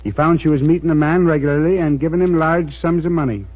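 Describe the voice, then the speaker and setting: Man playing a dry American lawman, higher-pitched voice, teasing and probing, thin phone-like old radio recording. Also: fairly even intonation, natural voice. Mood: measured, insistent. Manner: wry, probing, halting with pointed pauses. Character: dry, teasing male colleague of a police inspector. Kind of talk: mid-century radio drama acting.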